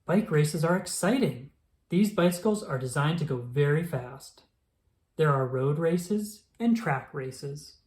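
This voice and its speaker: Lively children's book narrator. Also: medium pitch, a little slow. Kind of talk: scripted narration, picture book read aloud.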